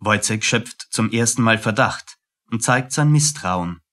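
Lowish-pitched male radio play narrator. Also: lively intonation, a little slow, a bit bright.

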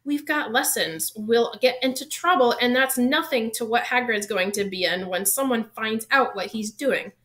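A woman reading the part of a bright, sensible schoolgirl with an earnest, persuasive manner in a storybook. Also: on the high side, normal speed, few pauses, close to an everyday voice. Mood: worried, scolding. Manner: warm, coaxing, earnest. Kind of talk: audiobook character reading.

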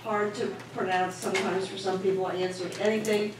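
A woman's voice: medium pitch, little pitch movement, brisk, noisy background. An older woman from Northern Kentucky, speaking at a meeting for the first time in a friendly, rambling way. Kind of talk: informal remarks at a meeting. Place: large meeting hall indoors. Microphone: handheld mic, recorded from far camera. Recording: echoey hall from far off.

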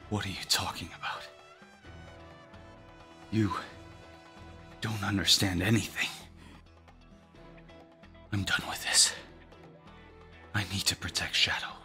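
A man's voice: sits low, fairly even intonation, takes it slowly, pauses often, sharp tone, faint music behind, full character voice. A man voicing a brooding, angry young antagonist in an anime audio drama. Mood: angry, frustrated.

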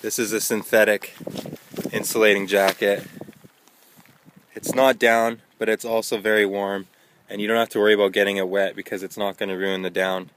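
A man's voice: lowish voice, level tone, normal speed. A young man casually narrating a hiking vlog outdoors into a handheld phone. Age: young adult. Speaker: hiking vlogger. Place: outdoors on a wet, windy mountain trail. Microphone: handheld phone at arm's length. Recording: windy, noisy handheld phone recording outdoors. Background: loud wind and rain noise.

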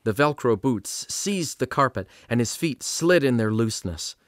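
A man's voice: medium pitch, animated, moderate pace, dry recording. A middle-aged man reading scripted storybook narration as an audiobook narrator at a studio microphone. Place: small padded recording studio. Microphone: studio condenser microphone on boom.

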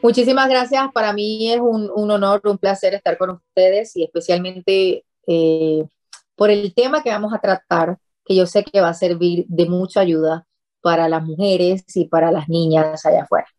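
Brief: Puerto Rican woman, 30s, talk-show guest, earnest and emphatic, lowish voice, dry close mic. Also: steady tone, moderate pace, darkish tone, dry recording. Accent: Puerto Rican Spanish. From Puerto Rico. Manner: earnest, speaks with emphasis and repetition. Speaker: interview guest, journalist. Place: small studio office set.

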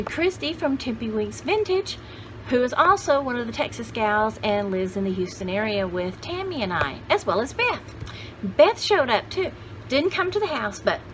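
A woman's voice: mid-range voice, poor audio. Folksy older woman, chatty, some background noise. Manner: folksy, jokey, rambling. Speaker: host of a vintage haul video channel. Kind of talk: casual chatty vlog. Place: indoor home studio room with shelves.

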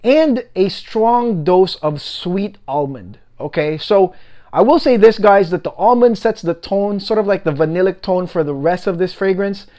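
A young man talks straight to a close camera in a room at home. He reviews perfume in a chatty, animated way and keeps tossing in "guys" and "okay?". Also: high-pitched, unhurried. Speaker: fragrance reviewer. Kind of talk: casual video review.

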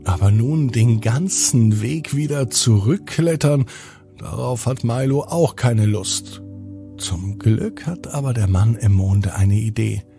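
A man's voice: medium pitch, slow pace, creaky, soft background music. A man telling a bedtime story for children, expressively and addressed straight to the young listener.

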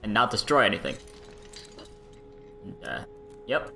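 A man's voice: lowish voice, very animated, unhurried, sharp tone, faint music behind, exaggerated voice. A man voicing a giddy, lonely middle-aged mad scientist with a rambling, awkwardly chipper manner in an improvised comedic game dub. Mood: sulky, menacing.

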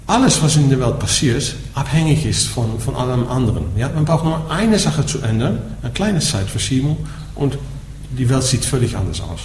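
A man's voice: mid-range voice, sing-song, moderate pace, few pauses, darkish tone. Dutch guy teaching buddhism in german, echoey room, bit of hiss, keeps saying ja?. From Netherlands. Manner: explaining, asks "ja?" after nearly every point. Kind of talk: dharma talk to a group. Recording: echoey room with faint background noise.

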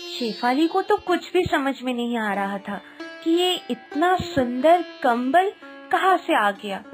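A woman's voice: high voice, expressive, slow pace. A woman narrating a scripted children's moral story as a storyteller. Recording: Indian instrumental music behind.

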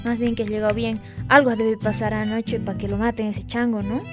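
A chatty teenage Bolivian girl acted by a woman in a radio drama, full of concern. Her voice is fairly high, over faint music. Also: little pitch movement, a little fast, lightly acted. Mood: worried, gossiping. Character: chatty, worried Bolivian schoolgirl, teenage friend.

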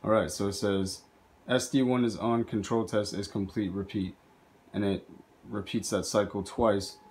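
A man with a fairly low voice talks through a homemade project. He presents it casually as a video host, recorded indoors on a handheld camera. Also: fairly even intonation, moderate pace, darkish tone, slight background noise. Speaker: video host, hobby robot builder. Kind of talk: casual narrated project demo.

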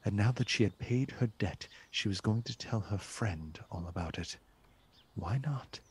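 An actor as the storyteller of a gothic radio play, narrating theatrically and deliberately in a gravelly, husky voice. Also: male voice, fairly low pitch, animated, heavily acted. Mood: musing. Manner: deliberate, theatrical, savoring repeated words. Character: male storyteller of a gothic tale. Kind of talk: dramatic scripted radio narration.

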